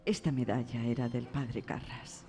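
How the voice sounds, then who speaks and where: An actress playing a tender, weary mother in a radio drama, speaking softly and politely. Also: a bass voice, steady tone, normal speed, soft background music. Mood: subdued, tender. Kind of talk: Spanish radio drama acting.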